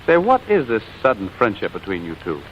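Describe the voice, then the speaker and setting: An actor playing a smooth, scheming charmer who coaxes and wisecracks at an old flame in a 1940s radio romantic comedy. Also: male voice, lowish voice, wildly swooping pitch, a little fast, slight background noise. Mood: coaxing. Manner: coaxing, wisecracking. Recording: dull vintage radio recording, music behind.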